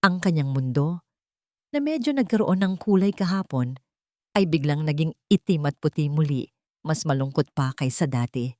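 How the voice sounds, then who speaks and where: A woman narrating a scripted love story in a measured, dramatic reading style. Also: deep voice, animated, warm tone, no room sound, studio quality.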